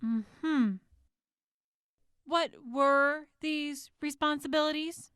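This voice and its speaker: An actress voices a skeptical female prosecutor in a wasteland courtroom drama. She drags out her questions with mock politeness. The voice is high and crisp-edged, unhurried, in a dry studio sound. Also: fairly bright tone, no echo, exaggerated voice. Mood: probing. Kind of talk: audio drama courtroom acting.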